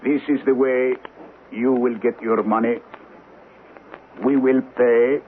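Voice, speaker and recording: Man acting a slow, ceremonious envoy on old radio. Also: mid-range voice, level tone, narrow, tinny audio, lightly acted. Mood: solemn, courteous. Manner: formal, ceremonious, deliberate. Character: scheming man posing as a humble Indian temple envoy. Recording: thin, noisy vintage radio recording.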